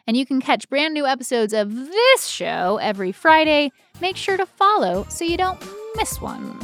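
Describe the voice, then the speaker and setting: A woman with a fairly high voice and a close, dry sound co-hosts a casual comedy podcast. She tells stories in a self-mocking, playfully dramatic way. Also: sing-song, moderate pace, fairly bright tone, no room sound, soft background music. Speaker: podcast co-host.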